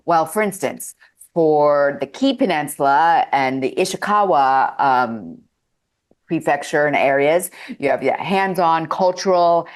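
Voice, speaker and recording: A woman with a New Jersey accent presenting a destination on a travel panel. She is upbeat and chatty, with some fillers. Her voice sits a little low. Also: lively intonation, unhurried. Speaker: tourism representative.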